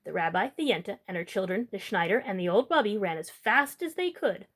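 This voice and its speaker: A woman's voice: medium pitch, expressive, a little fast, fairly bright tone. A woman hosting a storytime, reading a children's picture book aloud as narrator.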